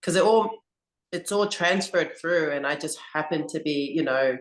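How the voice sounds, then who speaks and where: A woman rugby coach answering interview questions in a slow, earnest, reflective way. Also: low voice. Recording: roomy echo.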